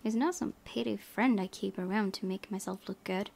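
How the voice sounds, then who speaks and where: Creaky-voiced woman reading a game aloud. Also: mid-range voice, normal speed, a little mellow. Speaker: gaming video host. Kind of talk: reading visual novel text aloud with casual commentary.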